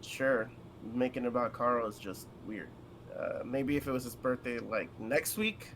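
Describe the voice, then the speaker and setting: A man's voice: mid-range voice, animated, moderate pace, a bit bright. A man co-hosting a livestream, chatting casually and reading character lines aloud. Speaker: co-streamer. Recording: faint background noise.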